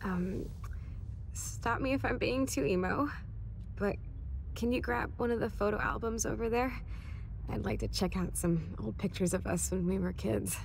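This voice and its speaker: An actress playing an ailing young American woman in a video game, soft and casual, sentimental and weary as she talks to a friend. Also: medium pitch, moderate pace, vocal fry, slight background noise, natural voice. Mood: gentle, fond. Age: young adult. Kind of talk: naturalistic video game cutscene acting.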